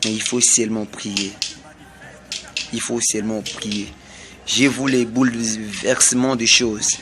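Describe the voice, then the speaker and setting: A man from Congo with a Congolese French accent, delivering a slow, repetitive prophetic sermon. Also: lowish voice, level tone, noisy background. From Congo (DRC). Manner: slow, repetitive, emphatic pronouncements. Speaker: self-styled prophet.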